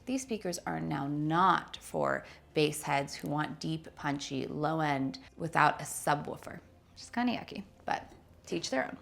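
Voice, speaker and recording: A woman in her thirties with a fairly low voice and plenty of vocal fry. She presents a product review to camera, talking conversationally and leaning on key words for emphasis. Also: lively intonation, a little slow, fairly bright tone. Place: indoor showroom with wood-slat wall.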